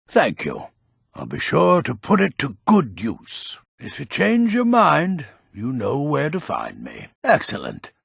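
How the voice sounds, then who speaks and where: Gravelly, grumpy old man. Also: mid-range voice, a bit bright, dry recording, studio quality, heavily acted. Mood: smooth, businesslike. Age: older. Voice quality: gravelly, husky, raspy. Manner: grumbling, emphatic, theatrical. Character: grumpy old man, crafty merchant and schemer. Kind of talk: fantasy video game character acting.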